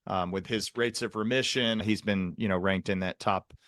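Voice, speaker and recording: A man with a fairly deep voice, recorded dry with little room sound. He hosts a clinical podcast conversation and speaks thoughtfully, pausing and circling as he picks technical words. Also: steady tone, fairly bright tone, no echo. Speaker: podcast host, psychiatrist.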